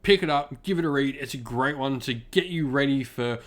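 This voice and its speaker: A man's voice: mid-range voice, moderate pace. A man giving an informal spoken review as a channel host, enthusiastic, stressing words and often circling back to repeat himself.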